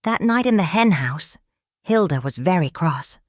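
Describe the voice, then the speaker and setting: A woman reading a children's picture book aloud in a calm, measured, expressive storytelling manner. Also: on the low side, dry recording, studio quality. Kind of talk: children's read-aloud narration.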